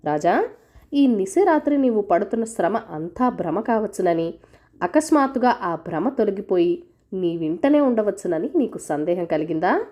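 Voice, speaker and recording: A woman's voice: mid-range voice, sing-song, moderate pace, warm tone. A woman narrator voicing a male spirit that lives in a corpse and formally addresses a king in a storybook tale. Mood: solemn, coaxing.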